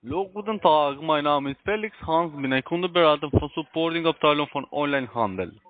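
A man with a foreign accent in non-native German making a polite, halting cold call as a call centre adviser. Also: fairly high pitch, animated. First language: not German. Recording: tinny, narrow phone line.

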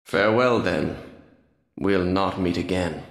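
Brooding young half-vampire nobleman, low voice, slow solemn delivery, video game drama. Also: vocal fry, exaggerated voice. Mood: somber, resigned. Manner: formal, solemn, measured. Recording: echoing game audio.

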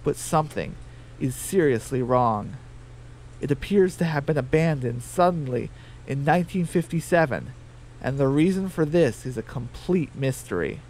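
A man's voice: mid-range voice, expressive, moderate pace, a little mellow, slight background noise. A man narrating a scripted comedy radio drama as its storyteller.

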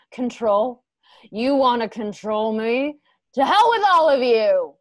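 An actress plays a vengeful young woman warrior in a radio drama. She has a slow drawl and a bitter, dangerous edge. The sound is dry. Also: a little high, lively intonation, no echo. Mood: furious, taunting. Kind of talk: radio drama acting over video call.

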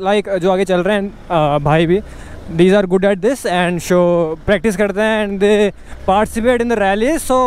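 A man's voice: high voice, a little mellow, clean tone. A young man, chatting jokily on a motovlog while riding outdoors. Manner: joking, mixes Hindi and English. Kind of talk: casual vlog chat while riding.

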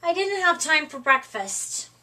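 A woman's voice: high voice, very animated, unhurried, sharp tone, distant mic, heavily acted. A woman voicing an earnest little bunny schoolgirl in a children's storybook.

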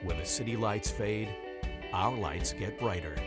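Slow smooth man over loud guitar music. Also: fairly low pitch.

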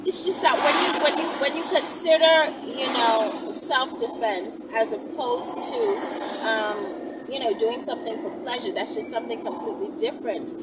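A woman's voice: on the high side, very animated, normal speed. A woman in her thirties giving casual advice to camera in a blunt, emphatic, sweary way. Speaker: vlog host. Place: inside a car on the road. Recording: distant mic, clear car road noise. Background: vehicle noise.

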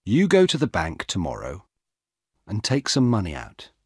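A man voicing an earnest, methodical male police officer in a storybook, speaking in a deliberate, instructing manner. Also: on the low side, wildly swooping pitch, slightly rough, no echo, clean studio sound, heavily acted. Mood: planning, instructing. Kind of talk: audiobook character dialogue.

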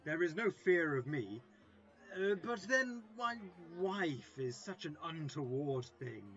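A man playing a vain, gullible gentleman in an amateur audio-drama comedy, speaking in a theatrical, self-important way. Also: fairly high pitch, lively intonation, takes it slowly, muffled recording, exaggerated voice. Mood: pompous, fretful.

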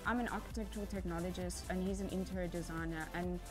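A woman's voice: lowish voice, fairly even intonation, quick pace, over music. A woman in her twenties from South Africa, an earnest contestant introducing herself. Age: 20s. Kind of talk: interview.

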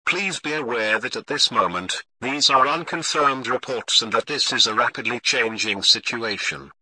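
A man playing a calm, formal television emergency news announcer, reading a scripted bulletin in a measured way. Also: medium pitch, poor audio. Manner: formal, measured, read-out delivery.

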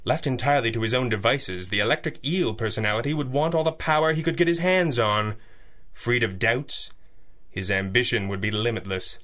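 An actor playing a shrewd, commanding masked hero, measured and authoritative, in a 1930s-style radio drama. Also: male voice, mid-range voice, fairly bright tone, lightly acted. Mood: shrewd, urgent. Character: masked male crime-fighting hero, grave, shrewd, commanding.

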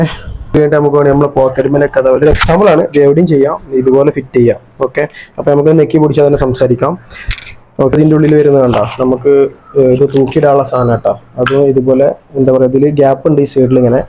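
A man's voice: medium pitch, mellow. A man with a Kerala Malayalam accent chatting casually as he hosts an unboxing vlog, explaining things informally step by step. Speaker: vlogger. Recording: noisy amateur vlog audio with clicks.

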